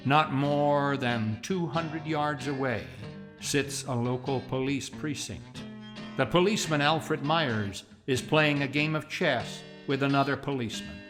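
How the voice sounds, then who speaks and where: A man narrating a radio drama in a measured, solemn storytelling manner. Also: mid-range voice. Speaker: announcer and narrator of a radio play. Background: plucked guitar music.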